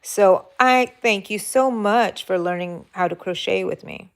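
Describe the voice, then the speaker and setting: A woman's voice: medium pitch, a little slow, clean tone. Chatty middle-aged woman with a Minnesota accent. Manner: rambling, effusive, reads out chat names. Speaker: crochet livestream host. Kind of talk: chatty live stream talk.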